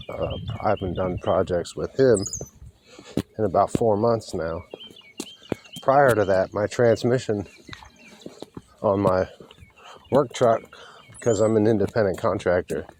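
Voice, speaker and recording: A man giving a rambling, folksy and wry walking vlog monologue, speaking haltingly and with strong opinions as he walks outdoors along a road. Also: fairly low pitch, a little mellow, a little hiss or hum. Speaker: vlogger.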